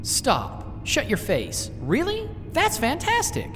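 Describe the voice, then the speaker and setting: A woman voicing a playful older male mercenary commander who teases his crew, in an animated sci-fi drama. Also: sits high, in a large hall, music in the background, heavily acted. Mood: excited. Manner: playful, teasing, quick banter.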